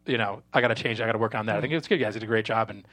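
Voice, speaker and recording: A man speaking as a screenwriter guest in a casual panel chat, rambling and halting with repeated false starts. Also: on the low side, fairly bright tone.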